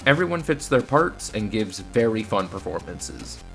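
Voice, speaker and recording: A man's voice: fairly low pitch, big pitch swings, normal speed, vocal fry, a bit bright. A man narrating a scripted film review in a casual, wry, opinionated way. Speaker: video host, film reviewer. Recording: voice-over with faint music. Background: faint background music.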